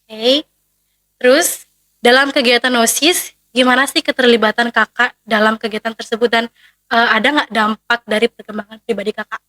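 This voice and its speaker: A woman's voice: on the high side, steady tone, moderate pace, pushed and bright. A teenage girl hosting a school podcast, asking questions and echoing the guest's answers back. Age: teens. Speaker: student podcast host. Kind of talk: casual interview.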